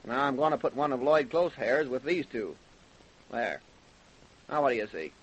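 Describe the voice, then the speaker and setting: An actor playing a confident, blunt forensic investigator in an old-time radio drama, explaining assertively and impatiently. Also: male voice, medium pitch, expressive, normal speed, a little hiss or hum, close to an everyday voice, low-quality recording. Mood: assured, explanatory. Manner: assertive, matter-of-fact explaining with impatient jabs.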